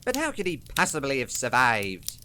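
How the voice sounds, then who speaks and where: An actor playing a cold, calculating villain doctor in a radio play, formal and deliberate, on a slightly noisy recording. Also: medium pitch, wildly swooping pitch, bright, forceful tone.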